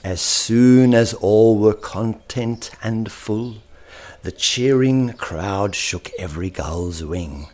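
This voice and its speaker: A man reading a story as its author, theatrically, with dramatic drawn-out phrasing that drops into hushed whispers. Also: lowish voice, some chatter behind.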